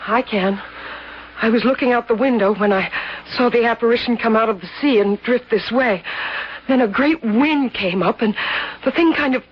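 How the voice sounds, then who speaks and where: Earnest, husky woman witness. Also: fairly high pitch, moderate pace. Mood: awed, urgent. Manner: earnest, dramatic. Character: earnest woman witness describing an eerie apparition. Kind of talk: old radio drama acting. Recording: dull old radio recording.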